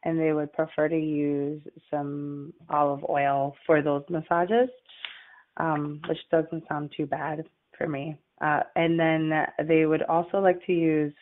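A young woman from Puerto Rico, a midwifery student, giving a halting recorded class presentation full of uhs and restarts. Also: sits low. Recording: tinny phone-like audio.

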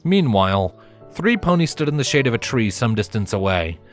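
Expressive male story narrator, lively pitch. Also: moderate pace, soft background music.

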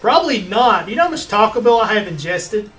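A man's voice: high-pitched, sing-song, brisk, fairly bright tone. A man in his twenties hosting a casual gaming stream on a headset mic, joking about himself in a laid-back way. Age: 20s. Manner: laid-back, self-deprecating joker. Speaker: streamer host. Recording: faint game music behind.

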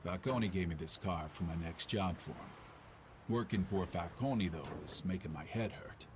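An actor playing a jaded, tough older enforcer in a noir game, speaking in a terse, world-weary way. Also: male voice, low-pitched, normal speed, background noise, lightly acted. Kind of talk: hardboiled noir game narration and dialogue.

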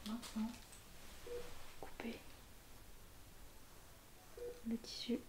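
A young woman speaking softly and half-whispering in an unhurried ASMR medical roleplay, as a surgeon. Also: fairly high pitch, monotone. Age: young adult. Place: small indoor room. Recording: roomy, clearly noisy, with clicks.

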